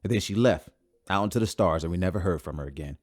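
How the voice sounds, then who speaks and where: A man's voice: low voice, fast talker, dry recording, clean studio sound. A bitter, heated spaceman. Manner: heated, emphatic. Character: bitter grown man, grieving a lost sister. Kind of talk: audio drama acting.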